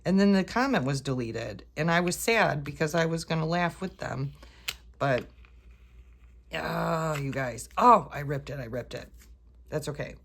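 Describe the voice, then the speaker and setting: A woman's voice: low voice, animated, a little slow. A woman rambling casually to her viewers as she decorates a planner, correcting herself as she goes, her voice congested and a little off. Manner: chatty, self-correcting, talks to viewers while working. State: congested, voice a bit off. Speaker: planner vlogger. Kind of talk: casual rambling craft chat.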